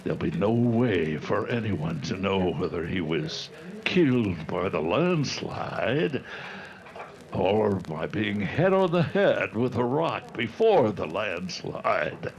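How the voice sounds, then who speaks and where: Man playing a gravelly, slow old outlaw boss. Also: on the low side, very animated, heavily acted. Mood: scheming. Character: sly old male outlaw boss, cold and scheming. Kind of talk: old-time radio drama acting.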